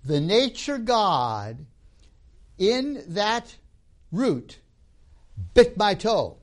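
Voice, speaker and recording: Lively man from Tanzania lecturing. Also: high-pitched, extremely slow. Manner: storytelling with tag questions, acts out scenes. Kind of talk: lecture on religion and myth.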